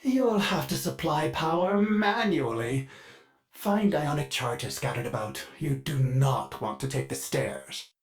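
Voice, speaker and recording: A man's voice: fairly high pitch, lively intonation, slow. An actor playing a polite, fussy male ship AI in a video game who speaks of himself in the third person, heard through a glitchy robotic voice filter. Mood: helpful. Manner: sudden dark glitched outbursts.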